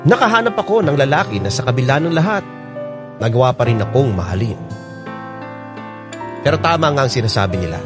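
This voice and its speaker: A man's voice: medium pitch, very animated, fairly quick, pauses often. Male radio storyteller over guitar music. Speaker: radio host reading a listener's letter. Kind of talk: sentimental scripted storytelling.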